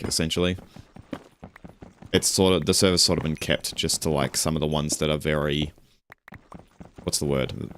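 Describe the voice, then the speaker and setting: Very low-voiced young man rambling casually. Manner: rambling and relaxed, with chuckles and self-corrections. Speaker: streamer. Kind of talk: casual stream chat while gaming.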